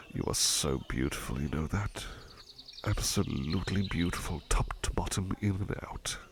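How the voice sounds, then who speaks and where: A man's voice: low voice, measured pace, slight background noise. A man playing a devoted, affectionate butler in an ASMR roleplay, speaking politely and tenderly with hesitant stammers. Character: devoted, affectionate male butler, doting and flustered. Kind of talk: ASMR roleplay, soft personal attention.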